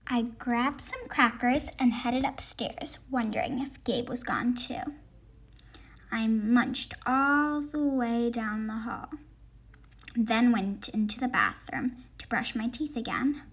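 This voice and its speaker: A woman reading a children's story aloud, narrating expressively with dramatic, deliberate phrasing. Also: fairly high pitch, breathy edge. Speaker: storybook reader.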